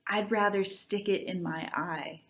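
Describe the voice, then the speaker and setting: A woman voicing a reluctant, antisocial schoolgirl from a children's book in a deadpan way. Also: medium pitch, measured pace, close to an everyday voice.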